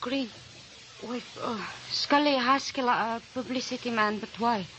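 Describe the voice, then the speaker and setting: An actress playing an anxious, defensive young ballerina in a 1940s radio drama, breathless and pleading. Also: female voice, a little high, a little slow, bright, forceful tone. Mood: uneasy. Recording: hissy old radio recording.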